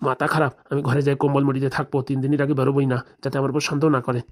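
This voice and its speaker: Comedy cartoon villager, played by a man: mid-pitched, rapid-fire, vengeful scolding. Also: flat delivery, close to an everyday voice. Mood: secretive. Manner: ranting, colloquial scolding. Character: fed-up, vengeful village man plotting revenge.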